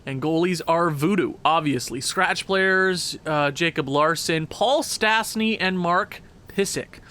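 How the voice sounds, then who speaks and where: Excitable high-voiced man, stuffed-up nose. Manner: chatty, excitable, quick asides. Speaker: gaming commentator. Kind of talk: casual video game commentary.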